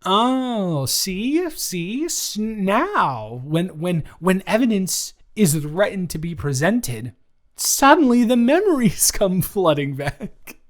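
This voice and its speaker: A man's voice: high-pitched, sing-song, takes it slowly, full character voice. A man playing a playful, teasing young best friend in an improvised roleplay audio, speaking casually with false starts and repeats. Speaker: audio roleplay creator and performer.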